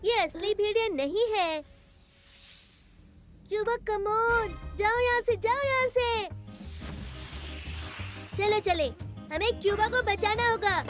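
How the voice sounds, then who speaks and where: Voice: extremely high pitch, slow pace, pauses often. A voice actor playing a brave, sensible young male leopard cub in a cartoon dub, childlike and earnest. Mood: urgent. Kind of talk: Hindi cartoon dub acting. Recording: music under the voice.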